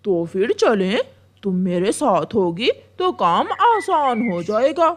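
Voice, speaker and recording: A woman's voice: medium pitch, very animated, slow, a little hiss or hum. A woman voicing a flirtatious, bossy male crow husband in a cartoon story, with an emphatic, wheedling delivery. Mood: flirtatious, coaxing. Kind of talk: Hindi cartoon story acting.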